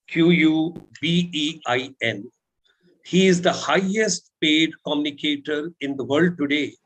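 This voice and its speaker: Slightly high-voiced man trainer. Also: a bit bright, low-quality recording. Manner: spells out names letter by letter, repeats phrases for effect. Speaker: webinar panelist and trainer. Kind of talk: motivational panel talk.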